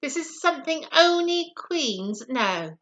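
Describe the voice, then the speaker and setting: Woman putting on a bossy, high-pitched storybook queen. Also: sing-song, slow, a bit bright, exaggerated voice. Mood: commanding. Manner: imperious, emphatic, proper. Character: bossy, nagging older queen and mother. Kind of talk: storybook character voice.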